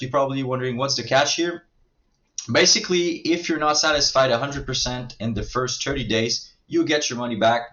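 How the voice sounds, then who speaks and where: French Canadian man, mid pitch, halting sales pitch over dull lo-fi audio. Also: a bit bright. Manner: hesitant, many fillers and restarts. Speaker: marketing agency owner pitching services.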